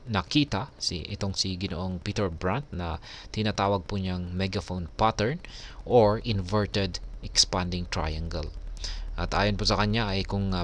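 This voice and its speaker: A man with a Filipino accent presenting a news explainer as a vlogger, speaking politely and haltingly as he moves between reading out and commenting. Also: low voice, steady tone, a bit bright, slight background noise. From Philippines. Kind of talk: news explainer, alternating read-out article and commentary.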